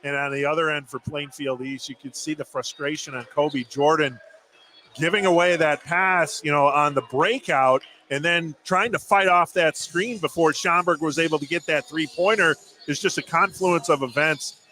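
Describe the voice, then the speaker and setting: Bright, forceful male basketball color commentator. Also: on the high side, moderate pace, slight background noise. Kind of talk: live basketball radio analysis.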